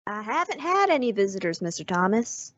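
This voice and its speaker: A woman's voice: mid-range voice, very animated, a little fast, dry recording. A woman playing a polite, earnest young female bank clerk in an old-time radio western. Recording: dull lo-fi audio.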